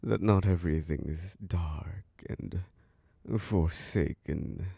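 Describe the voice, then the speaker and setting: A man voicing an ancient, charming and possessive male vampire lord who hosts a masquerade, speaking in a slow, seductive, close-mic ASMR roleplay with soft chuckles. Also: no room sound, heavily acted. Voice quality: low gravelly voice. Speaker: castle host.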